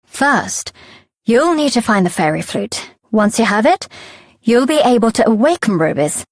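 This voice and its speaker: An actress voicing a helpful, candid fairy guide in a video game, chatty and conversational. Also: female voice, on the high side, sing-song, normal speed, no room sound, clean studio sound. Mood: earnest, instructive. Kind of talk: scripted video game voice acting.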